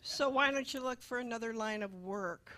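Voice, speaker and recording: A woman's voice: on the high side, moderate pace, sharp tone. A woman playing a sarcastic, exasperated landlady in a comic radio play, needling and dry. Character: nagging, sarcastic female landlady, exasperated and money-minded.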